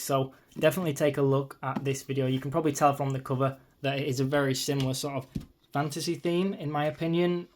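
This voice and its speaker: A man hosting his channel, chatting casually while flicking through an art book, his voice a little strained from a long day of talking, with frequent ums and asides. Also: mid-range voice. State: voice slightly strained, losing it.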